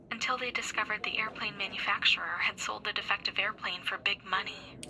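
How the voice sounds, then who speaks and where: Very bright, earnest young woman. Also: fairly high pitch, slight background noise, rough recording. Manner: earnest, storytelling. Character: earnest young woman recounting a childhood tragedy. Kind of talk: video game cutscene dialogue.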